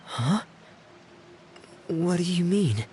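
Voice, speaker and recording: A man voicing a shy, withdrawn teenage boy in a video game drama, gentle and guilt-ridden, speaking hesitantly. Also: sits high, animated, extremely slow, a little hiss or hum, exaggerated voice. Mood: uneasy. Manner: hesitant, subdued. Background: music.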